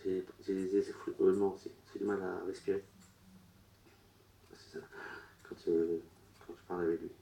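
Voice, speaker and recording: A man working as a medium at a public séance, relaying messages in short, broken, halting phrases. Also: sits low, flat delivery, gravelly, dark tone. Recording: roomy echo.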